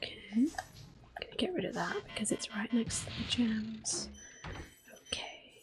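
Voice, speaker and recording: A woman giving gentle, unhurried, soft whispered ASMR commentary on a game as the host. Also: on the high side, expressive, music in the background.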